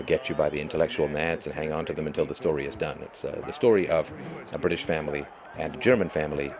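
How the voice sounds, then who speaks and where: A middle-aged man with a hoarse voice, hosting a live stream and talking through his views in an emphatic, lecturing monologue. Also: very deep voice. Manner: emphatic, lecturing, with rising bursts of stress. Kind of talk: monologue commentary.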